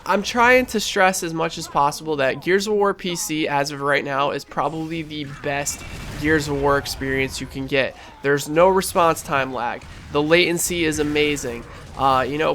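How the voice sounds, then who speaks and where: A young man commentating over his gameplay in a casual, rambling, enthusiastic way, sounding stuffy and a little hoarse. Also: a little high, a bit bright, slight background noise. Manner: rambling, enthusiastic, lots of fillers and restarts. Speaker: gaming channel host.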